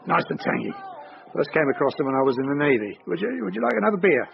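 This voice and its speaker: A muffled, noisy radio drama recording of an elderly English father. His voice is creaky and fairly high for a man, and he chats homely and teasing, with dry little jokes. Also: expressive, racing. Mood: chatty, nostalgic. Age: older. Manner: chatty, dryly joking. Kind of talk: naturalistic radio drama acting. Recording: dull lo-fi radio broadcast, clear noise.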